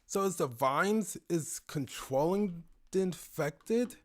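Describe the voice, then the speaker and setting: A man's voice: high voice, animated, slow. A man giving casual, spontaneous reaction commentary, musing and thinking aloud as he goes.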